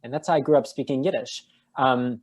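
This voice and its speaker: A man answering an interview question over a video call, thoughtful, with many pauses and restarts. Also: medium pitch. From United States. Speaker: guest translator.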